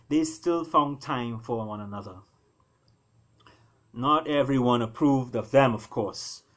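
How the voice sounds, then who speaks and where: A man reading his own fiction aloud as a storyteller, with theatrical pauses and emphasis. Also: medium pitch, fairly bright tone. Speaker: author reading own novella. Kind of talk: storytelling, dramatic scripted narration.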